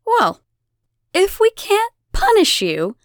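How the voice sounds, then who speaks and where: A woman's voice: mid-range voice, big pitch swings, slow pace, dry recording, clean studio sound. A woman voicing a cruel, volatile fire goddess in an audiobook, mocking, imperious and theatrical. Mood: mock regret. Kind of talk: audiobook character voice.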